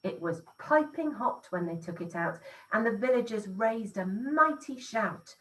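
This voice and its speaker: A woman teacher reading a rhyming picture book aloud in an expressive, sing-song storytelling way. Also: fairly high pitch, a little slow, distant mic, rough recording.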